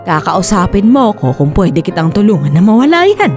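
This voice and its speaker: An actress voices a hard-edged older Filipino woman in a radio drama, scornful and taunting. Her pitch leaps around in exasperated exclamations. Soft music plays behind her. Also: very animated, normal speed, lightly acted. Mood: mocking disbelief. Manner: taunting, mocking, sighing exclamations.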